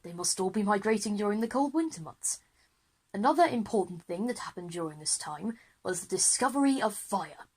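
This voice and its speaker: A woman's voice: mid-range voice, expressive, fairly quick, a little mellow, breathy edge. A woman playing an enthusiastic, easily flustered male history teacher in a children's audio drama, with an eager, wordy lecturing manner.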